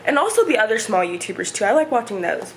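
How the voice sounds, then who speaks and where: A woman in her twenties, chatting casually and rambling through a home vlog, her voice hoarse and stuffy. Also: fairly high pitch, very animated, quick pace, a little hiss or hum. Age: 20s. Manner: rambling, chatty, many fillers. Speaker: vlogger. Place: home, indoors. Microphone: camera set up nearby.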